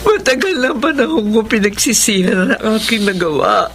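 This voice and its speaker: Actress as high-voiced, pleading aging Filipino mother. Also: lively intonation, normal speed, background noise, natural voice. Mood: pleading remorse. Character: frail, guilt-ridden aging mother begging forgiveness. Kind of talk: Filipino radio drama acting.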